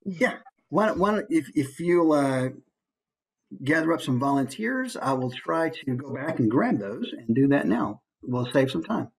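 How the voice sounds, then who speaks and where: Guy teaching an online workshop, husky voice that swings around a lot, really encouraging. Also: fairly high pitch, sing-song, unhurried. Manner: encouraging, informal. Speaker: voice acting workshop presenter. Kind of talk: encouraging online class instruction. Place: online video call.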